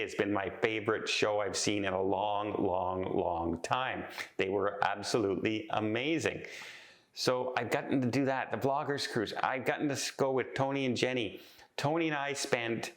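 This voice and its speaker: A man talking casually in a vlog, chatty and heartfelt, with a calm voice and frequent restarts. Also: fairly low pitch. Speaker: travel vlogger. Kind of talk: casual reflective vlog talk.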